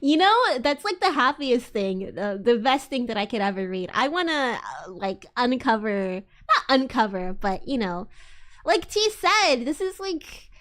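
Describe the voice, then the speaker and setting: A woman with a distinctly high voice hosts a casual livestream. She talks in loose, slangy, rambling chat and is prone to giggles. Her delivery is informal and addressed straight to her viewers. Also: sing-song, measured pace, fairly bright tone. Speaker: livestream host.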